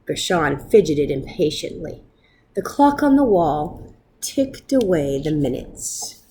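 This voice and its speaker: Woman, children's storyteller, lively intonation, unhurried pace, expressive read-aloud narration. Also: sits low, a little hiss or hum. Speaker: storyteller reading a picture book aloud.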